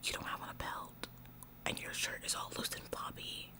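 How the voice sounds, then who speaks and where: Female performer as self-important female store clerk, ASMR roleplay. Whispered, smug, nagging, halting and repetitive. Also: lightly acted. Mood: stressed, nagging. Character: bossy, officious female store employee, smug tattletale.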